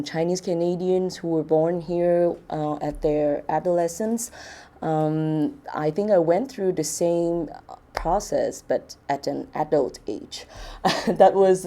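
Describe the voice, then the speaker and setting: Low, mellow-voiced woman from Hong Kong. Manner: hesitant, many fillers and restarts. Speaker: event presenter. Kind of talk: short talk to an audience.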